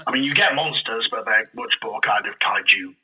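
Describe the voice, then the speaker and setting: A man chatting casually as an interview guest, often halting and correcting himself. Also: lowish voice, pushed and bright. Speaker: podcast guest, audio drama creator.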